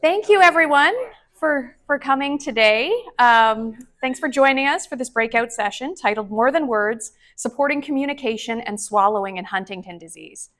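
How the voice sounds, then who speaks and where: A woman opens a conference breakout session as moderator in a meeting room. She reads her upbeat, formal introduction with a few small stumbles. Her voice sits a little higher than most. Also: lively intonation, normal speed, a bit bright. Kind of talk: reading a scripted introduction.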